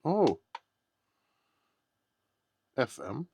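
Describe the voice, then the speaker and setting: A man's voice: medium pitch, sing-song, drawn-out words, creaky, warm tone, slightly rough. A man giving casual, unscripted unboxing commentary, thinking aloud in short, tentative remarks. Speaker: product unboxing vlogger.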